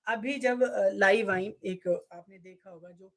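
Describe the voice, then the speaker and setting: A woman giving an unscripted, somewhat halting explanation to her viewers, recounting what others said. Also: mid-range voice.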